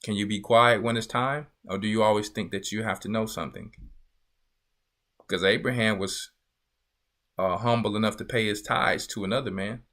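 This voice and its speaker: A man's voice: lowish voice, steady tone, moderate pace, fairly bright tone. A man teaching a Bible lesson as a church elder, emphatic and repetitive, often asking listeners if they see his point. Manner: emphatic, repeats phrases and asks listeners "you see". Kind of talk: sermon-style Bible teaching.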